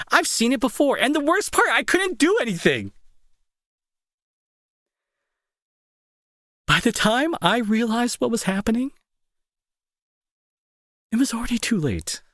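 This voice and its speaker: A young man playing a gruff school bully who is fearful and protective underneath, in an intense, halting, pleading audio roleplay style. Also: very high voice, sing-song, fairly bright tone, dry recording, clean studio sound, full character voice. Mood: anguished. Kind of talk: dramatic audio roleplay acting.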